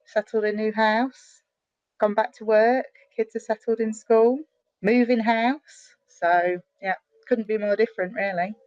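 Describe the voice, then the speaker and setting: A chatty, animated middle-aged woman from the UK talks as a guest over a video call, her sentences tumbling out with restarts and fillers. The sound is close and dry, a little dull and lo-fi. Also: on the high side, measured pace, dry recording. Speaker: guest, online marketer. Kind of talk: casual interview chat.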